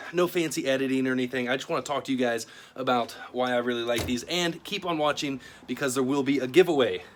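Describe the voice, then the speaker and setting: A man's voice: medium pitch, fairly bright tone. Man, mid-range voice, casual review host, conversational, plain-spoken, faint background noise. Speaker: video host. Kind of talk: casual product review talk to camera.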